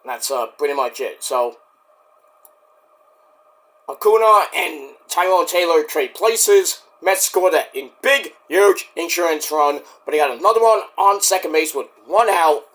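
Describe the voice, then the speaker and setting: A man with a high, bright voice that is hoarse and worn. He rambles through a casual solo wrestling review, joking as he goes. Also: expressive, a little slow. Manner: rambling, jokey, with bursts of shouting.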